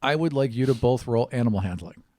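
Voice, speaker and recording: A man's voice: medium pitch, animated, fast, a little mellow, no echo. A man running a tabletop roleplaying game as game master, narrating casually and encouraging the players.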